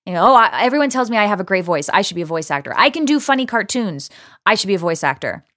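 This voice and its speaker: A woman voice actor in a casual panel chat, talking in an animated, emphatic way. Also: mid-range voice, quick pace, dry recording, clean studio sound.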